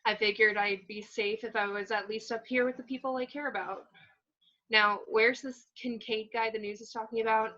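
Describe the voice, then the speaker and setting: Blunt, wry young heroine, read by a woman at a table read, higher-pitched, sharp tone, slightly muffled home recording. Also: steady tone, moderate pace. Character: tough, blunt young woman survivor seeking answers.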